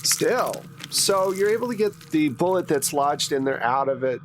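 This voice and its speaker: A man's voice: a little high, lively intonation, moderate pace, background noise. A man running a tabletop roleplaying game, narrating in a conversational, improvised way. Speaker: game master.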